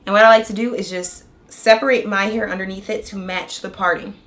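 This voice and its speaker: A young woman vlogging a hair tutorial in a chatty, excited way, walking through each step, her voice a little raspy from a cold. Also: medium pitch, fairly quick. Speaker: beauty vlogger. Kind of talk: casual hair tutorial and review.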